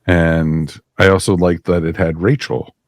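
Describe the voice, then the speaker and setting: A man co-hosting a casual podcast review, relaxed and agreeable as he chimes in. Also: low voice, unhurried, dry recording, clean studio sound. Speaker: podcast co-host.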